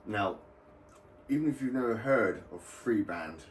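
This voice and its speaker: Deep-voiced British man in a roomy space. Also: animated, unhurried. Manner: informal, rambling. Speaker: radio hobbyist video host. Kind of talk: casual explainer vlog. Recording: roomy, distant mic, faint background noise.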